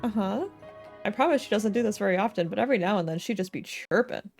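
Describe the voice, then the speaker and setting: A woman's voice: mid-range voice, quick pace, vocal fry, darkish tone. A woman in her twenties talks casually to her live stream. She chats as she plays a game, with music running behind her voice. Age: 20s. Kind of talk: casual gaming stream chat.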